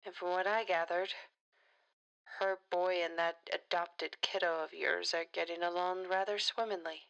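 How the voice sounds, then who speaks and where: A woman playing a principled, defiant politician in an audio drama, speaking in a measured, earnest way. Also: lowish voice, steady tone, gravelly, a bit bright, dull audio. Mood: dry, defensive.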